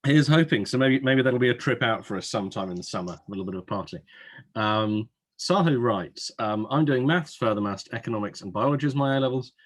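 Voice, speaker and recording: A man's voice: mid-range voice, fast talker. A man hosting an informal webinar Q&A as an admissions adviser, chatty, with wry asides. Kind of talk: informal Q&A hosting, reading out audience questions. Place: online webinar.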